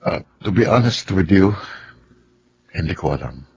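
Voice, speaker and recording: A man's voice: sits low, animated, measured pace, creaky, a little mellow, breathy edge, lo-fi sound, full character voice. An actor playing an uneasy, conflicted middle-aged stepfather in a Filipino radio drama, speaking in a hesitant, subdued way. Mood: troubled, resigned. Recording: noisy radio drama recording.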